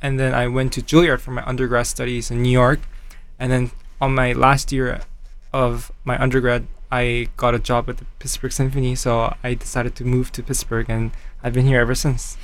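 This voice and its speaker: A man's voice: medium pitch, flat delivery, moderate pace. A young man from Canada, a cellist guest, answering interview questions modestly and hesitantly with many fillers.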